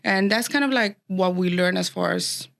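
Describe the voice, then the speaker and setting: Colombian-accented woman, gravelly, middle-aged, chatty and laughing. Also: lowish voice, normal speed, a bit bright. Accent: Colombian Spanish. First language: Spanish. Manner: chatty, laughs often, restarts sentences. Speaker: guest, macaron baker. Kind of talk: casual interview chat.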